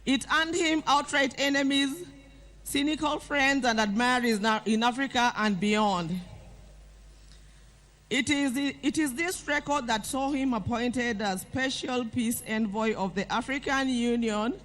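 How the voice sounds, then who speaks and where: A woman's voice: fairly high pitch, a little slow, fairly bright tone. An older woman with a Kenyan accent reading a formal eulogy aloud. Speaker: eulogist at a funeral.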